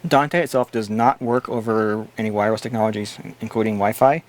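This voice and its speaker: A man's voice: on the low side, steady tone, fairly quick. A man hosting a casual tech livestream, answering chat questions and explaining things in a blunt, opinionated way.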